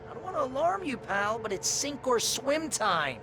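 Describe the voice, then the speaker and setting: An actor playing a needling imaginary best buddy in a game, chatty, sardonic and theatrical. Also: male voice, very high voice, very animated, moderate pace, exaggerated voice. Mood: sardonic, urging. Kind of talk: comic video game acting.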